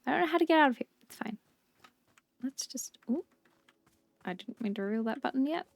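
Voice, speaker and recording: A woman giving chatty, softly delighted let's-play commentary as she plays a video game. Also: sits high, animated, a little fast, gravelly, no echo. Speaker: video game streamer reading dialogue aloud.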